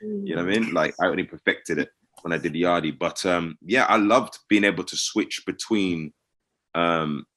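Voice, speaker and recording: Deep-voiced man, emphatic. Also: very animated, moderate pace, a bit bright. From London, England. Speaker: actor, cast member. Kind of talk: casual interview chat.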